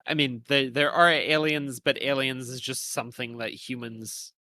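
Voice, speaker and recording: Man, medium pitch, bright crisp-edged tone, conversational podcast co-host, game discussion. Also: fairly even intonation, normal speed.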